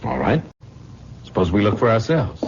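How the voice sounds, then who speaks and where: An actor playing a protective young fiancé in an old-time radio drama, urgent and insistent. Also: male voice, on the low side, big pitch swings, normal speed, vocal fry, noisy background. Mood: angry demanding. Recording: muffled old radio recording.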